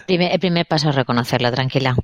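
Female podcast guest, deep voice, Spain accent, casual and wry conversational delivery. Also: very fast, no echo. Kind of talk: casual chat.

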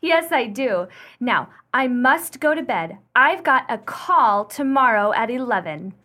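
Casting: woman to play playful young revue actress for radio play, high voice, leisurely delivery. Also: lively intonation, a little slow, sharp tone. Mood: brisk, self-assured. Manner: coy, playful, exclamatory.

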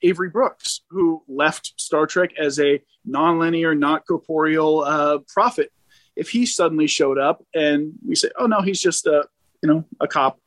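Male caller, slightly high voice, dry close sound, hesitant and informal fan-discussion delivery. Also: moderate pace, a bit bright, dry recording. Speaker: caller to a fan talk show.

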